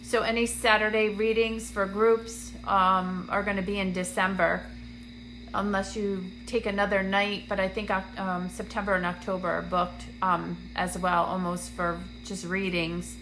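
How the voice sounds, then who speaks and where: A woman, a medium hosting a phone live stream from a dim room, chatty and full of ums, sounding congested and a little raspy. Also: mid-range voice, little pitch movement, unhurried, noisy background. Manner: chatty, greets viewers by name, many ums. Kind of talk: casual livestream chat to viewers. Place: dim indoor room, seated on a couch. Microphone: phone camera at arm's length.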